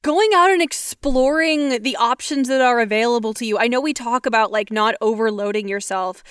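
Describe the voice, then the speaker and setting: A woman's voice: on the high side, normal speed, a bit bright, smooth, no echo, clean studio sound. A woman hosting a podcast, asking articulate, curious questions about sound design. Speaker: podcast host interviewing guests. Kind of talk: interview questions.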